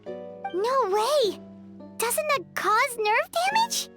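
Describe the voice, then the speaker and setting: A woman voicing a tiny, excitable childlike companion girl in a video game, squeaky and exclamatory. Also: drawn-out words, over music, full character voice. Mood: astonished. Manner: exclamatory, wide-eyed.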